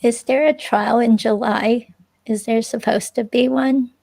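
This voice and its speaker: A woman's voice: fairly high pitch, fairly even intonation, unhurried, creaky, darkish tone. A woman playing a hesitant, curious ex-girlfriend questioned by police, speaking casually in a transcript reading. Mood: curious, cautious. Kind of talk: dramatic reading of an interview transcript.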